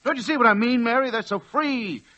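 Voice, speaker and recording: A man's voice: very high-pitched, a little fast, pushed and bright. An actor playing a meek, dreamy druggist in his late forties, hesitant and stammering, in 1940s radio style. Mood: fervent, excited. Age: late 40s. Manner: hesitant, stammering, turning impassioned. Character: meek, dreamy middle-aged druggist obsessed with trains. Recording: dull old 1940s radio recording.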